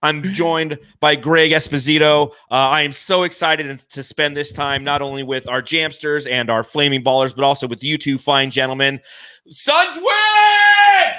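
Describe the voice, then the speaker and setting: A man hosting a sports fan podcast with excited, profane banter, delivered in a boisterous, declamatory way. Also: on the high side, normal speed, hardly any pauses, smooth, no room sound. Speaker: podcast host.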